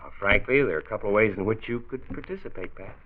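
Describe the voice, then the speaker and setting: An actor playing a friendly, earnest health commissioner in a 1940s American radio drama, speaking in a measured, persuasive, polite way. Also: male voice, lowish voice, natural voice. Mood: genial. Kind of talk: 1940s radio acting and narration. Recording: muffled 1940s radio transcription disc.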